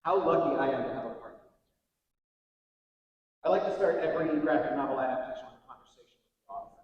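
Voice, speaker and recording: A man's voice: mid-range voice, fast, lo-fi sound. A man giving a prepared thank-you speech as an audiobook producer. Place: large indoor hall at an awards ceremony. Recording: very echoey hall, distant from mic.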